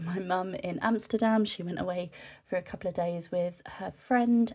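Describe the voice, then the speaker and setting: Low-voiced young woman with a cold. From United Kingdom. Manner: relaxed, chatty, with frequent ums. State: nasal from a cold. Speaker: scrapbooking vlogger. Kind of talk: casual voice-over narration.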